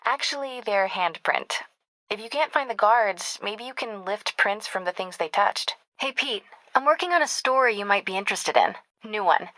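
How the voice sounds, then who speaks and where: A daring young woman reporter with an American accent in a video game. Her voice is slightly high and very bright, casual and quick-witted, with warmth in the teasing. The recording is close and dry. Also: no room sound, low-quality recording. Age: young adult.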